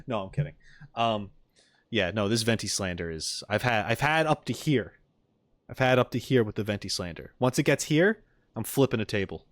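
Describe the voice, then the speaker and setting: A man's voice: on the low side, lively intonation, normal speed, no echo, studio quality. A man giving casual, joking reaction commentary as a streamer, informal and playfully indignant.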